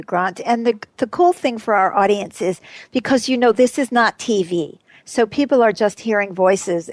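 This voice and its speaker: A woman's voice: mid-range voice, a little mellow, no echo. Bubbly woman radio host, dry sound. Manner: bubbly, laughs often, emphatic. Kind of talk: hosting a radio panel discussion.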